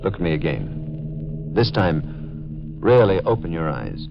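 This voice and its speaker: A gravelly older man in a vintage radio play. He is very low-voiced, speaking with measured, insistent calm. The recording is dull and hissy. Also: exaggerated voice. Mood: gentle, insistent. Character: older, calm, persuasive man, long-lost lover. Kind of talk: 1940s radio drama acting.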